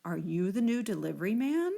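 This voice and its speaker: A gruff but jovial storybook sea captain put on by a woman. He is an old-fashioned picture-book skipper with a hearty manner. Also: medium pitch, very animated, brisk, warm tone.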